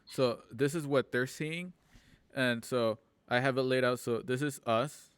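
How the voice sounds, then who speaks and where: A man with a smooth, clear voice hosts a casual group chat from home. He explains things in a halting way, often repeating the start of a phrase. The sound is dry and close, with a middling pitch. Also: no room sound. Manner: halting, repeats words as he goes. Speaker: host and producer of a streamed radio drama show. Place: at home on a group video call.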